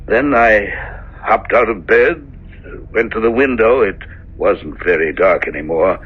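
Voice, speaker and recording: An older minister and father with a lowish voice, gentle but deeply uneasy. He phrases things haltingly and solemnly in 1970s radio drama style. The recording is dull, with music behind it. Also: husky. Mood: haunted, solemn.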